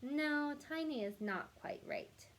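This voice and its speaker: A woman playing a sweet young girl in a children's storybook, coaxing and gently scolding her new puppy. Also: sits high, animated, slow, a little hiss or hum, heavily acted. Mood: excited.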